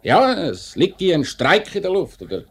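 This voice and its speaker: Bossy factory foreman, Swiss German, very lively pitch swings, blustering, grudging, vintage radio drama. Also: moderate pace, husky, full character voice. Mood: hedging, insinuating. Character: irritable, bossy male factory foreman, servile to his director. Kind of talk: 1950s radio drama acting.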